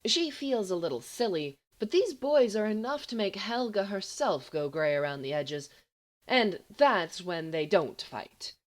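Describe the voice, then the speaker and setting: A woman reading fiction aloud as an audiobook narrator, in an expressive scripted storytelling style. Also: mid-range voice, unhurried. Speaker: audiobook reader.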